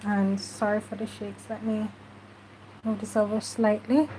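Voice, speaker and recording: A woman's voice: medium pitch, level tone, dark tone, a little hiss or hum, rough recording. A woman with a stuffy nose, chatting casually through a craft tutorial while she works. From Jamaica. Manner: chatty, unhurried, talks while working. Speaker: craft journaling host.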